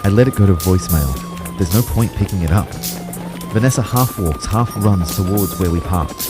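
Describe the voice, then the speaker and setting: A man's voice: deep voice, normal speed, over loud music. A young man playing an anxious narrator of his own out-of-body ordeal in an audio drama. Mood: tense, reflective. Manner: expressive, shifting from hushed narration to urgent pleading. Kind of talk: first-person audio drama narration with acted dialogue.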